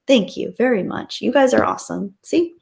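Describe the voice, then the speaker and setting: A woman's voice: on the high side, animated, normal speed, creaky, a little mellow. A woman hosting a livestream, chatting casually and reading out viewer comments in a gushing, appreciative, playful way. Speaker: livestream host.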